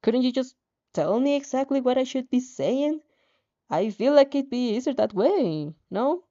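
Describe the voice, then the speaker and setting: Woman playing young story heroine, eager, bubbly, friendly, raised pitch, animated intonation, lo-fi sound. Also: unhurried. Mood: questioning.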